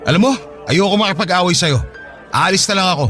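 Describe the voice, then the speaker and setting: A man's voice: sits high, fast talker, pushed and bright, faint music behind. A man playing an irritable, evasive boyfriend in an audio drama, defensive and exasperated. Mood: defensive, irritated. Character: irritable, evasive young boyfriend making excuses.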